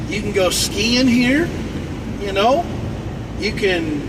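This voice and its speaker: High-voiced man rambling over traffic noise. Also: expressive, slow pace. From North Dakota. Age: 40s. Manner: repetitive, restarts and repeats phrases. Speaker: livestream host. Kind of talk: rambling casual monologue. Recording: noisy outdoor traffic behind. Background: car and street noise.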